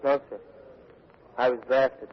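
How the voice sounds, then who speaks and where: An actor playing a shy eighteen-year-old soldier, hesitant and quiet, in a 1940s radio drama. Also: male voice, mid-range voice, little pitch movement, slow, lightly acted. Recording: dull, noisy vintage radio broadcast.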